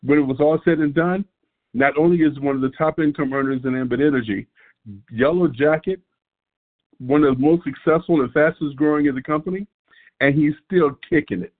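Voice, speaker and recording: A man's voice: on the high side, steady tone, a little fast. A man hosting a weekly conference call, introducing a guest in an upbeat, promotional way. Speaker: host. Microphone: telephone. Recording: tinny phone line.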